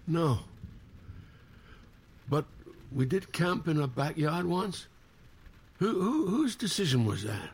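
An actor playing a forgetful brother reaching for memories, halting and unsure, in naturalistic audio drama. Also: male voice, on the high side, sing-song, breathy edge, full character voice.

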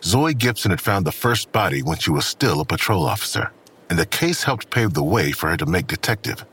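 A man narrating a scripted crime audio drama as a brooding storyteller, with a gravelly, husky voice. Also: low-pitched, sing-song, brisk, fairly bright tone, full character voice.

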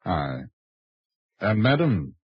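An actor playing a stern London sheriff in an old radio drama, husky, terse and formal as he questions people. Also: deep voice, very animated, extremely slow, dry recording, exaggerated voice. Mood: stern, official. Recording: muffled old radio recording.

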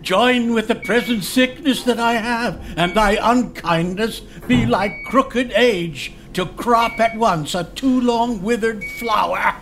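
A man playing a dying old nobleman with a high, strained voice, speaking slowly and bitterly, laughing through his reproaches. He delivers verse in a classical stage style, with faint background noise. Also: fairly bright tone, heavily acted. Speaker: actor.

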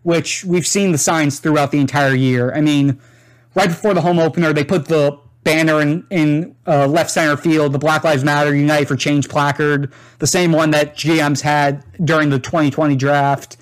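A man hosts a sports podcast in a somewhat raised voice. He lays out background in a measured, informative way before handing over to his guests. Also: a little high, steady tone. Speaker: podcast host and reporter. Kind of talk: scripted podcast commentary.

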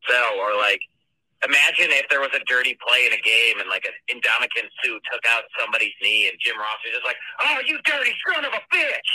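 Very sharp-voiced man ranting on a voicemail. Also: mid-range voice, very animated, a little fast, husky, no echo, rough recording. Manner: blunt, mocking, profane, slangy. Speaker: listener leaving a voicemail. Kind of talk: casual sports rant. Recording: dry voicemail phone recording.

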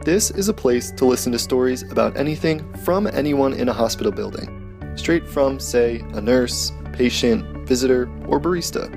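A man hosts a hospital podcast, greeting listeners and quizzing his guests in a bright, friendly way. His voice has a gravelly edge. Guitar music plays clearly behind him. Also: mid-range voice, animated, normal speed. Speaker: podcast host. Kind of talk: hospital podcast hosting and interviewing.

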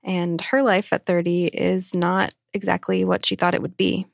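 A woman's voice: lowish voice, flat delivery, moderate pace, creaky, dry recording, studio quality. A woman who works as an editor and creative director, describing interviews in a matter-of-fact way. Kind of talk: podcast interview narration.

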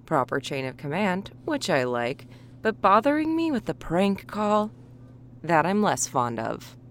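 A smug, menacing police officer. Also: female voice, fairly low pitch, huge pitch swings, unhurried, a bit bright, slight background noise, heavily acted. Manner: smug, threatening, controlled. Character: coldly menacing veteran woman police officer.

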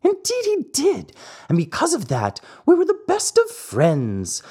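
Reader doing a squeaky, sly weasel thief, wheedling. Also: wildly swooping pitch, a little slow, darkish tone, heavily acted. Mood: coaxing. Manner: wheedling, persuasive.

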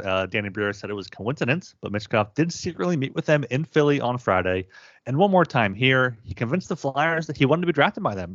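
A hoarse man co-hosting a sports podcast, stammering and repeating words as he chats casually. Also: medium pitch, a bit bright, no echo. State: hoarse from yelling. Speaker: podcast co-host. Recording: slightly dull lo-fi audio.

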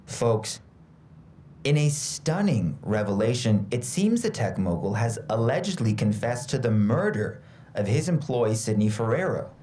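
A man's voice: mid-range voice, very animated, measured pace, dark tone, a little hiss or hum. An actor playing a punchy, dramatic male morning news anchor in an audio drama. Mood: urgent, showy.

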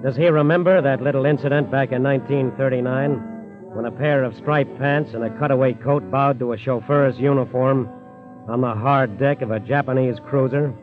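A man's voice: mid-range voice, fairly even intonation, moderate pace. An American man giving dramatic, rhetorical scripted narration for a 1940s radio program. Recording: muffled 1940s radio recording. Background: faint music.

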